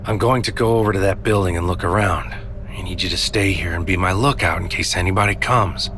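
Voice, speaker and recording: An actor playing a world-weary psychic agent in a noir audio drama, speaking in a terse, brooding, deliberate way. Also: male voice, low voice, a bit bright, slight background noise. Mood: controlled, steady. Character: world-weary psychic agent man narrating his dangerous mission.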